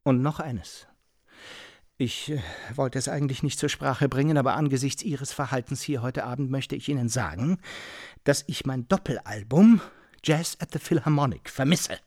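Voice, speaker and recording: An actor playing an indignant, self-righteous, pedantic homeowner in a radio play, speaking pompously and with heavy emphasis. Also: male voice, medium pitch, normal speed, darkish tone, no room sound, clean studio sound, natural voice. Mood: stiffly accusing. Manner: pompous, emphatic, repeating key phrases for weight. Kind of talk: German radio play acting.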